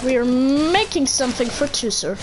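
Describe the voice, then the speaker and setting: A woman's voice: high voice, lively intonation, normal speed, music in the background. A woman giving casual gameplay commentary in short, slangy, offhand reactions, as a game streamer.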